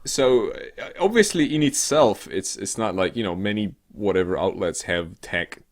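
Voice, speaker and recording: Low-pitched man chatting, halting and sarcastic. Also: animated. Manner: halting and rambling, sweary, sarcastic. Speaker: co-host of a weekly games show. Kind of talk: casual comedic news chat.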